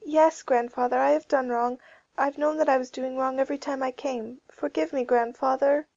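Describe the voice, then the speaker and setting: Woman voicing an earnest, high-pitched young boy. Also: steady tone, normal speed, creaky, darkish tone, no room sound, lo-fi sound. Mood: repentant, beseeching. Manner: earnest, beseeching.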